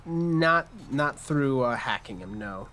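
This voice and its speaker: A man's voice: mid-range voice, expressive, takes it slowly, sharp tone. A man serving as game master, casually improvising narration and rulings for a tabletop game.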